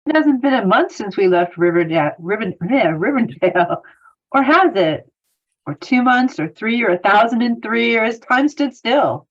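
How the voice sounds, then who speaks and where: Woman playing an animated, wide-eyed young hobbit. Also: fairly high pitch, normal speed, muffled recording. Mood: wondering. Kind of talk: comedic amateur table read.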